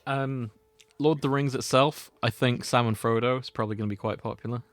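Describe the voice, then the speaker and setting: A man's voice: medium pitch, steady tone, a little fast, dry recording. A man hosting a podcast with casual, comedic banter. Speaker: podcast host.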